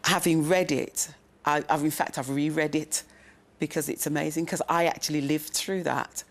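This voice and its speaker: Middle aged lady on a book panel, low voice, chatty discussion style. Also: steady tone, normal speed.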